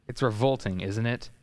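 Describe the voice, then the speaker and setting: A woman playing an excitable, fretful male department store manager in a radio play, exclamatory and wheedling. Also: extremely low pitch.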